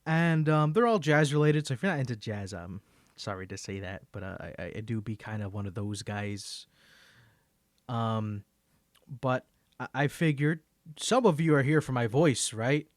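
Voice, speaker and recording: A man's voice: mid-range voice, moderate pace, no echo, studio quality. A man chatting casually in a rambling, hesitant, self-deprecating way, as a creator introducing his own audio. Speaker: ASMR creator and amateur singer.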